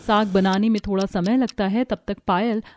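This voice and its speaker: A woman's voice: mid-range voice, steady tone, normal speed, a little mellow. Woman narrating a cartoon story.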